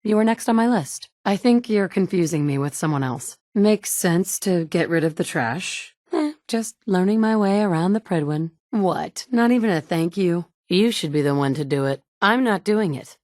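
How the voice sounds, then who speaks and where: A woman's voice: on the low side, lively intonation, moderate pace, few pauses, darkish tone, dry recording, natural voice. Woman voicing a blunt, wry game heroine. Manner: dry, blunt, sometimes sarcastic. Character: determined young mother, blunt and wry. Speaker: player character. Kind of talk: video game dialogue lines.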